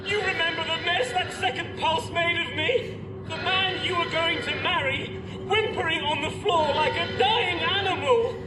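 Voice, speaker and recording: A man's voice: extremely high pitch, moderate pace. An actor playing a dying man pleading desperately in a radio drama, frantic and anguished, in an echoing space. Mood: accusing, desperate. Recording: echoing chamber with music behind.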